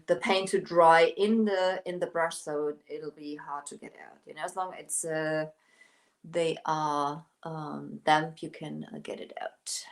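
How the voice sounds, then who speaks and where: German lady doing a live painting demo, lowish voice, echoey room, hunts for words a lot. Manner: searches for words, restarts often, chats to viewers. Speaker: painting livestream host.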